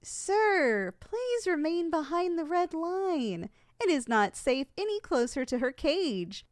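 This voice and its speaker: Female actor as polite, unflappable saleswoman for an audio roleplay; high, very animated voice, formal sales patter. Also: moderate pace, no room sound, studio quality. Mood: firm warning. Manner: formal, courteous, matter-of-fact about danger. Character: polite, unflappable saleswoman giving a yandere shop tour.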